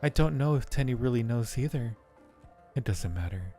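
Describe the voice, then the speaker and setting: A man's voice: medium pitch, lively intonation, normal speed, warm tone, close to an everyday voice. Man voicing a devoted, fatalistic undead acolyte, music behind. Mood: brooding, resigned. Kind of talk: dramatic reading of game narration.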